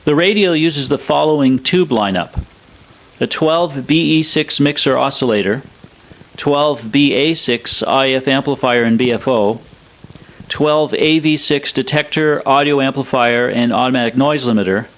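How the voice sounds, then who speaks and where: A man presenting a scripted technical explainer in a measured, matter-of-fact way. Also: mid-range voice, a bit bright, poor audio. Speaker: presenter of an electronics review.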